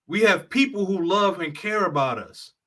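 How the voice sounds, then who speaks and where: A man's voice: high-pitched, expressive, normal speed, bright, forceful tone. A man who works as a travel consultant, giving a blunt, profane, lecturing monologue straight to the viewer. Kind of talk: heated straight-talk monologue.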